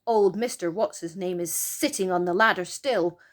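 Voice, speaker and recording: A woman voicing an eager, excited young boy on an adventure in a children's storybook. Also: fairly low pitch, normal speed, lightly acted. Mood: eager, explaining. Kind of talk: storybook character voice.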